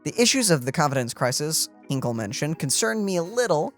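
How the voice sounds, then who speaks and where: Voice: mid-range voice, big pitch swings, a little fast. A let's play streamer reading game text aloud and adding casual commentary. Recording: game music in background.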